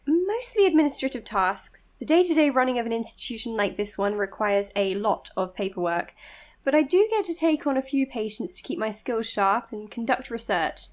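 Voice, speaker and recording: A woman playing an earnest, modest young psychiatrist in an audio drama, speaking in a measured, precise, slightly hesitant way. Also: on the high side, expressive, natural voice. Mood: matter-of-fact. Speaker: doctor being interviewed on a podcast. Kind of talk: audio drama acting, natural interview and voice notes.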